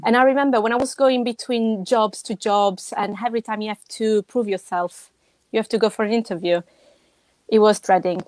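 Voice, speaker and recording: A woman from Italy with a strong Italian accent, telling her story as a podcast guest in a lively, emphatic way. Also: fairly high pitch, brisk, dry recording, poor audio. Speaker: podcast guest, web designer. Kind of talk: casual interview talk.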